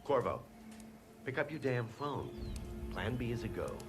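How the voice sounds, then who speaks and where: An actor playing a sneering, scheming lawyer villain in his sixties for a video game, gruff, commanding and mocking. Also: medium pitch, big pitch swings, measured pace. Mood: curt, commanding. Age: 60s. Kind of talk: cinematic game voice acting. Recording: very loud music behind the voice.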